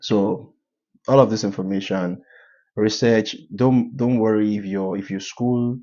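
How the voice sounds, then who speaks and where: A man walking viewers through a form in a tutorial, giving advice in a halting way with many filler sounds. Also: on the low side, fairly even intonation, warm tone, highs cut off. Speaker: scholarship advice YouTuber. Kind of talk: screen-share walkthrough tutorial.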